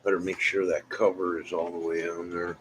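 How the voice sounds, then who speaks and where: A man's voice: sits low, level tone, fairly quick. A middle-aged or older man with an American Midwestern accent, chatting to viewers in a folksy, meandering, casual way. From Illinois, United States. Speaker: vlogger. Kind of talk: rambling casual chat to viewers. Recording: clear background noise.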